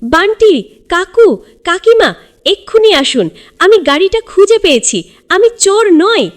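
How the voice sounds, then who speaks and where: An excited little boy detective from a children's cartoon story as a woman narrator plays him. The voice is high and swings widely, with a proud, urgent, exclamatory delivery full of determination. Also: unhurried, exaggerated voice.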